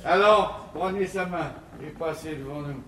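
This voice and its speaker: A man's voice: fairly high pitch, unhurried, a bit bright. An actor playing a blustering but tender-hearted old father in classical French verse comedy, with emphatic, declamatory delivery. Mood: fond, sentimental. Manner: emphatic, declamatory, swinging from scolding to fond. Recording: echoey 1950s theatre recording, clear noise.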